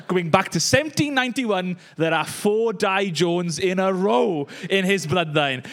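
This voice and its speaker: High-voiced Welsh pastor. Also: male voice, a bit bright. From Wales. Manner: chatty, joking asides with laughs. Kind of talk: conversational sermon.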